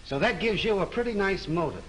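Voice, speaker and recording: A man's voice: high-pitched, animated, fairly quick, fairly bright tone, slight background noise, rough recording. An actor playing a brusque, sarcastic male police lieutenant who questions people bluntly, in 1930s film style. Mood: gruff. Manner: blunt, needling interrogation.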